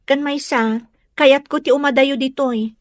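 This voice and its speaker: Woman acting an earnest young village woman, highish voice. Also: fairly even intonation, measured pace, dry recording, clean studio sound. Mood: hurt, defiant. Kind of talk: Ilocano audio drama acting.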